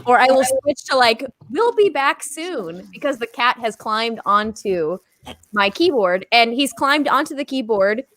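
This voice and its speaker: A woman's voice: a little high, lively intonation, a little slow, fairly bright tone, no room sound. A woman chatting casually with a group on a live stream. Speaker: streamer and table read participant. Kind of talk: casual chat.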